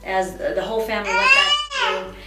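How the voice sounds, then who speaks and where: A woman in her thirties, from Israel, talking in a casual interview, halting, with many fillers and restarts. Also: a little high, huge pitch swings, over loud music. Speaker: guest. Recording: echoey room, far from the mic.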